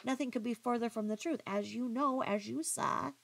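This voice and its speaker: A woman giving critical reaction commentary in a pointed, halting, questioning manner. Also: a little high, creaky. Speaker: commentary vlogger.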